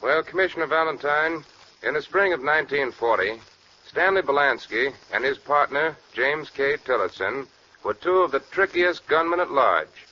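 Wanted: sheriff narrator, man with a higher, sharp voice, matter-of-fact vintage radio style, lo-fi sound. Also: animated, normal speed. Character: brisk Mississippi county sheriff recounting a criminal case. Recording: lo-fi 1940s radio recording.

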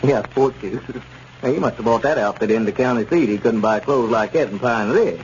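An actor playing an easygoing, sensible small-town store owner in a 1930s radio comedy. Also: male voice, mid-range voice, a little fast, darkish tone, natural voice. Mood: impressed, amused. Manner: chuckling, level-headed. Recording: dull, noisy 1930s radio recording.

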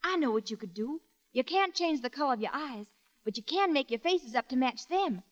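An actress playing a young, sharp-tongued, weary boarding-house keeper, tart and bossy, in a 1940s radio comedy. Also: female voice, high voice, lively intonation, a little fast. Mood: scheming. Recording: muffled old radio broadcast.

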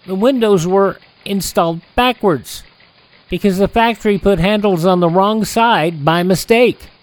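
A man hosting a classic radio show, reading old newspaper headlines in a steady newsreader delivery with small slips and self-corrections. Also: high voice, unhurried, a little hiss or hum.

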